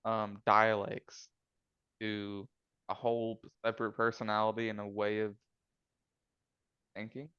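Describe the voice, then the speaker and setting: A man's voice: fairly low pitch, completely flat delivery, a little slow, pauses often, clear, resonant tone, dry recording, lo-fi sound. A young man co-hosting a casual podcast, laid-back and teasing. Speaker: podcast co-host. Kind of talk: casual chat.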